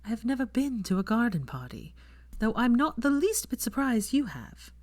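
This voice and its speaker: Casting: bantering young witch for an audio story, lifted pitch, prim and pointed played by a female reader. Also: very animated, moderate pace, darkish tone, no room sound. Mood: brisk, bantering.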